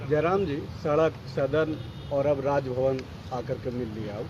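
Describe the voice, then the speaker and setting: A man's voice: medium pitch, a little slow, muffled recording, noisy background. A male reporter pressing an interviewee with questions, cutting in to push his points.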